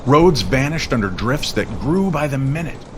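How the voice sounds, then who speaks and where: A man's voice: medium pitch, very animated, normal speed, noisy background. A man narrating a scripted eerie tale in an old-time radio style.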